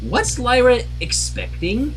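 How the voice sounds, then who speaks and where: A man's voice: extremely high pitch, huge pitch swings, slow pace, fairly bright tone, slight background noise, voice pushed up, heavily acted, poor audio. A man voicing a sweet, naive little filly pony who is curious and insistent, in a cartoon fan story. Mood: puzzled, curious.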